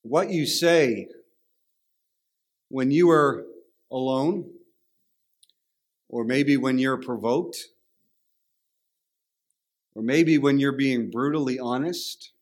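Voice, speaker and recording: A man with a Canadian accent preaching a sermon in a conversational, storytelling way. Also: mid-range voice. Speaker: pastor.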